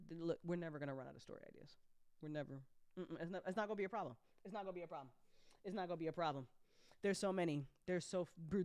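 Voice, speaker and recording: A woman host chatting casually and unscripted to her listeners, candid and excitable, with emphatic repetition, slang and plenty of swearing. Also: mid-range voice, no room sound, clean studio sound. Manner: excitable, emphatic with repeated phrases. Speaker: host and audio drama writer-producer.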